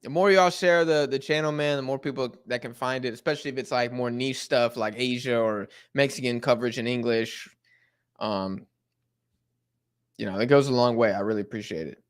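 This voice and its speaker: A young man chats loosely through a live football watch-along. He rambles in slang, with plenty of fillers and restarts. His voice sits at an ordinary middle pitch. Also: a little fast, smooth. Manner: slangy and rambling, lots of fillers, sudden excited outbursts at the match. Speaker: live stream host reacting to a football match. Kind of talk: casual live watch-along chat, reading chat messages.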